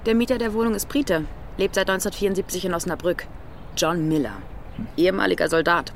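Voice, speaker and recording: An actress playing a matter-of-fact, dryly teasing police detective who briefs crisply in a German radio crime drama. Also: female voice, fairly low pitch, fairly even intonation, fast talker, a little hiss or hum, natural voice. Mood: businesslike.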